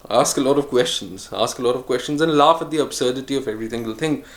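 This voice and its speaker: A man vlogging a reflective solo monologue, musing and earnest, with frequent pauses and restarts. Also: medium pitch. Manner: earnest, speaks of choosing calm over harshness.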